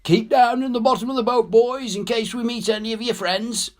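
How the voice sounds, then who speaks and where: A man's voice: very high voice, brisk, voice pushed up. Man playing a hearty boatman calling out. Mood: hearty, urgent. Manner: calling out loudly. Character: small bearded boatman rescuer, brisk, hearty, commanding. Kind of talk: dramatic audiobook reading.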